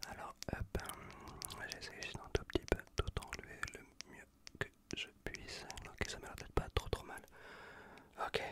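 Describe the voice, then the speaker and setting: A man with a French accent from France whispers a casual, reassuring ASMR roleplay as a caring survivor tending a wounded stranger, breathy and close to the microphone. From Bordeaux region, France. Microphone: close Blue Yeti microphone.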